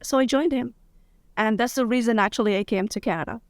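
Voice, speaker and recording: Gravelly-voiced woman lawyer guest, dry sound. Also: fairly high pitch, fast talker, no echo. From Morocco, grew up in Spain. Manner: upbeat, candid, laughs easily. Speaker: guest, international business lawyer. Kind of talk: casual interview conversation.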